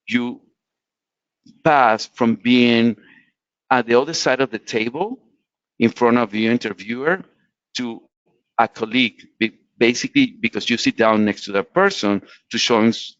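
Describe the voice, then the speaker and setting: A man's voice: medium pitch, no room sound. Man from latin america, english speaker, earnest advice, dry muffled webinar sound. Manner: earnest, explanatory, frequent restarts. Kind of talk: career advice talk.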